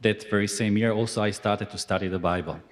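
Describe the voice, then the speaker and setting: Man, 40s, from Macedonia, non-native English, hesitant with lots of ums, slightly low voice. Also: fairly even intonation, quick pace. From North Macedonia. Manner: hesitant, many fillers, non-native phrasing. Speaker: interviewee. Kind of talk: formal interview testimony.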